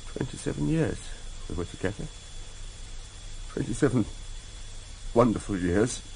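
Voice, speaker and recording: Genteel, halting elderly man. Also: medium pitch, expressive, creaky, darkish tone, low-quality recording. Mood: grieving. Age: older. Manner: genteel, halting, repeating himself. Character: grieving, courteous, devoted elderly secretary, secretive and effusive. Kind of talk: radio drama acting. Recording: hissy vintage radio drama tape.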